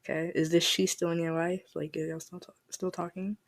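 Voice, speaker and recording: A woman's voice: on the low side, little pitch movement, fairly quick, heavy background noise. A woman hosting a podcast interview in a casual, chatty way, laughing often. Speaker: podcast host.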